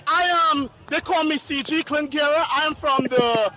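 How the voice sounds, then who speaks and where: A man's voice: extremely high pitch, moderate pace, pushed and bright. A man hosting a casual walkaround video at an outdoor event, chatting in an upbeat, sing-song way. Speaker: podcast host on a street video. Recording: outdoor crowd and music behind. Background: crowd chatter and music.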